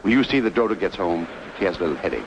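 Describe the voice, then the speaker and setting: An actor playing a courteous, trusting, well-off party host with a polite, genial manner in an old radio drama. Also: male voice, low voice, very animated, very fast. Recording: muffled, noisy old radio recording.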